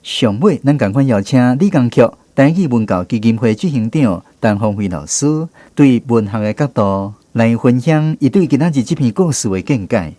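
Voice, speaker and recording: A Taiwanese man presents as a radio host. His voice sits at an average pitch with a very mellow, warm tone, and the delivery is scripted. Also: expressive, normal speed, hardly any pauses, dry recording.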